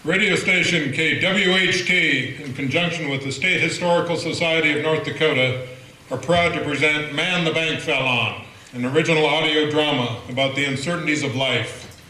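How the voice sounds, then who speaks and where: A man giving a slow, formal scripted announcement for a radio play. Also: on the high side, bright, forceful tone. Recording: echoey room.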